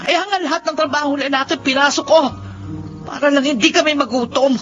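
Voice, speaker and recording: A woman acts out a tough young female fighter in a radio drama, high-voiced and bitter toward those who wronged her. The sound is muffled, with loud music running underneath. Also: fairly quick, close to an everyday voice. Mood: resentful. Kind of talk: Tagalog radio drama acting.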